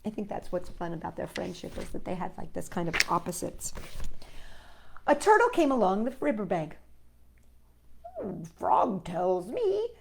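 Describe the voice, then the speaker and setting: A woman's voice: lowish voice, big pitch swings, fairly quick, creaky, slight background noise. A woman hosting a children's storytime, reading a picture book aloud with playful, chatty asides to young viewers.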